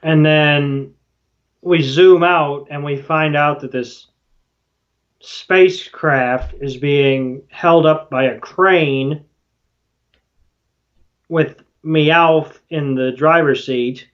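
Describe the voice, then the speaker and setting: A man's voice: fairly high pitch, steady tone, extremely slow, long pauses, muffled recording. A man co-hosting a podcast, casually retelling a show in a folksy, mildly profane way. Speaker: podcast co-host. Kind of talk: casual episode recap chat.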